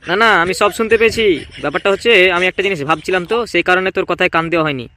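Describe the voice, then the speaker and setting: A man's voice: high-pitched, quick pace, sharp tone. A man voicing a preoccupied, self-important village council chief in a comedy cartoon, blunt and musing.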